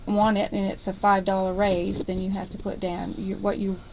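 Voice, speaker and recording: An American woman with a medium-pitched voice speaks as a guest on a radio interview. She explains details in an informal, somewhat halting way. Noticeable noise sits behind her voice. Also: level tone. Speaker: interview guest promoting a fundraiser. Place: radio station.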